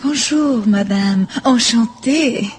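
Young mademoiselle, radio drama, affected, high-pitched, noisy ambience. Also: female voice, drawn-out words. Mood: demure. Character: coquettish, easily scandalised young French mademoiselle.

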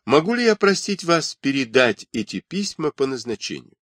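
A man's voice: medium pitch, very animated, moderate pace, fairly bright tone, no echo, studio quality, voice pushed up. A man voicing a polite, humble exiled male instructor who speaks in a subdued way.

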